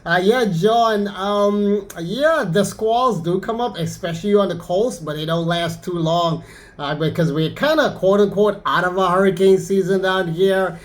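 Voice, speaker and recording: A man with a high-pitched voice talks as an amateur radio operator. He keeps it casual and easygoing, like a friendly rag chew between operators. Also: measured pace. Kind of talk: casual radio contact chat.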